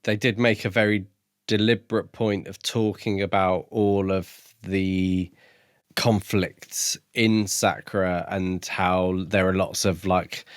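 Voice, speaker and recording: A man with a lowish voice speaks in a very dry, close-sounding recording. He is a podcast co-host in casual conversation, tentatively musing as he builds each thought. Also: little pitch movement, slow, no room sound. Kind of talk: casual discussion.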